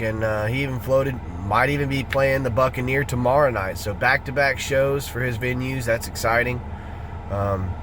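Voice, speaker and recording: A laid-back Southern man talks through his plans like a video diary, rambling a little and restarting phrases as he goes. His voice sits in a middle pitch, and there is a faint hum of traffic and outdoor noise behind him. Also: fairly bright tone. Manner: easygoing, rambling with small restarts. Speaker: traveling musician.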